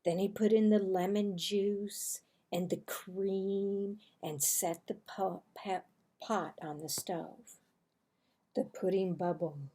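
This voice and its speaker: A woman reads a children's story aloud with deliberate, dramatic emphasis. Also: medium pitch, dark tone. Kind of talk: expressive scripted read-aloud narration. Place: small room indoors at a desk. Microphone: computer webcam microphone at arm's length.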